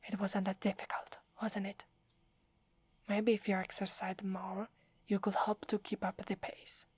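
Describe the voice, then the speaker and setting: A woman with an Italian accent voicing a proud young werewolf woman, whispering softly close to the mic and stammering when flustered. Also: medium pitch, flat delivery, unhurried, slightly rough, no room sound. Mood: shy, flustered. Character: proud young werewolf woman, gruff but secretly fond. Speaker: ASMR roleplay performer. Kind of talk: whispered ASMR roleplay.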